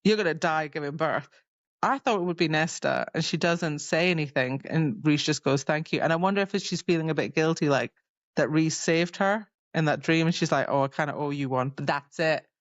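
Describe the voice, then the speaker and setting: A woman's voice: low-pitched, fairly quick, no room sound, lo-fi sound. A woman co-hosting a podcast, chatting casually and retelling a story by acting out the dialogue. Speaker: podcast co-host. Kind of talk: casual chat recapping a fantasy novel.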